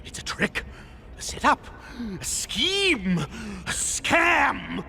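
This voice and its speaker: Cartoon crocodile tyrant, very high voice, wildly swooping, pompous, grandiose, short-tempered, theatrical. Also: male voice, very animated, vocal fry, a little hiss or hum, exaggerated voice. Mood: suspicious, scheming. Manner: pompous, grandiloquent, drawn-out emphatic delivery. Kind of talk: theatrical cartoon villain acting. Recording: cartoon soundtrack with loud music behind.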